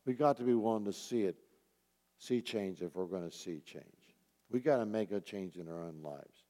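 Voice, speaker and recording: A man with a lowish, mellow voice delivers an earnest sermon. He urges his listeners with pointed questions and repeated phrases. The sound carries the long reverb of a hall. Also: normal speed. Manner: earnest, urging, repeats key phrases for emphasis. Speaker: pastor teaching a church group. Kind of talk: sermon, exhortation with rhetorical questions.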